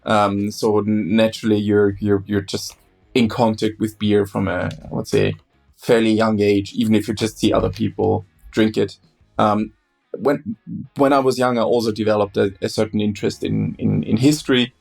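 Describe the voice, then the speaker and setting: A man from Austria, a German speaker, talking as a guest in a conversational interview, often halting and repeating words. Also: lowish voice, little pitch movement, vocal fry, a little mellow, faint music behind. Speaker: guest beer historian.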